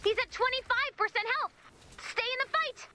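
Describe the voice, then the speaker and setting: A woman voicing an excitable, upbeat robot companion in a video game, with a theatrical, emphatic and enthusiastic delivery. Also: very high-pitched, moderate pace, a little hiss or hum. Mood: urgent, rallying. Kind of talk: animated video game voice acting.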